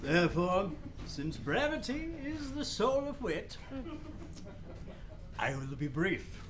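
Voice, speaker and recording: An actor playing a fussy, long-winded old royal counselor in a Shakespearean radio play, rambling and interrupting himself theatrically. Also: male voice, sits high, big pitch swings, slow pace, background noise, full character voice. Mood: pompous.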